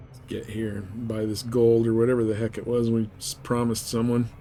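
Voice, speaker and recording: A man's voice: mid-range voice, monotone, fairly quick, warm tone, slight background noise. A man chatting casually with viewers as he live streams a game. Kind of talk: casual chat over gameplay.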